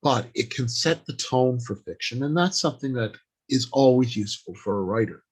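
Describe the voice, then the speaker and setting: A man giving an informal instructional talk on writing, speaking deliberately in short, halting phrases with pauses. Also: mid-range voice, expressive, slightly rough. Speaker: writing workshop presenter.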